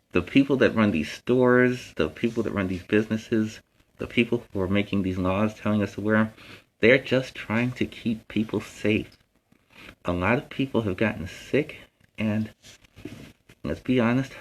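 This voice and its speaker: A man's voice: fairly low pitch, low-quality recording. A man hosting a stream, talking informally to viewers in an earnest, plain-spoken, emphatic way. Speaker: host of a costumed story time stream. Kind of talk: informal public safety talk to viewers. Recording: clear steady background noise.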